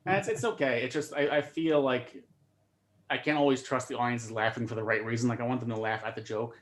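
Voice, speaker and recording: A man talks quickly and casually as a comedian on a video call. He keeps tripping over his first words and repeating them before the sentence gets going. His voice sits at a middling pitch. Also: fairly even intonation, slight background noise. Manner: fast-talking, repeats words while starting sentences. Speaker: comedian guest.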